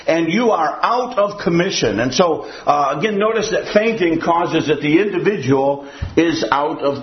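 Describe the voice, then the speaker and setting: A man's voice: fairly high pitch, roomy sound, highs cut off. An older man preaching a sermon to a church audience, rambling and folksy, with a habit of repeating himself.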